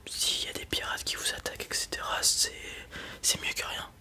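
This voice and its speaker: A man playing a blunt, casual space robot merchant in a whispered ASMR roleplay, muttering hesitantly in a breathy voice. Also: brisk, bright, forceful tone. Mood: businesslike, wary. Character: gruff, casual male space robot merchant, wary but kind.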